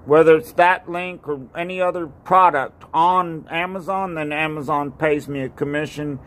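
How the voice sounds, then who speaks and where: An older man from North Carolina talks outdoors on a porch into a phone at arm's length, rambling and halting with many fillers and repeated thank-yous. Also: fairly high pitch, fairly even intonation, a bit bright, slight background noise. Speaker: ski racer and video maker. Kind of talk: casual home video. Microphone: phone camera at arm's length.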